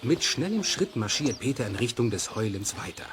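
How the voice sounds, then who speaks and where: A man narrating a children's radio play in an expressive, suspenseful storytelling manner. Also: mid-range voice, moderate pace, noisy background, close to an everyday voice.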